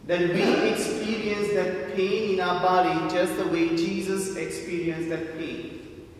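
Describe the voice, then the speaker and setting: Slow-speaking male priest in an echoing church. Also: flat delivery. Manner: deliberate, emphatic repetition and rhetorical questions. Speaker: priest preaching. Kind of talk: homily, measured and rhetorical. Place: large church interior. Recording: echoey church, distant mic, faint rumbling noise.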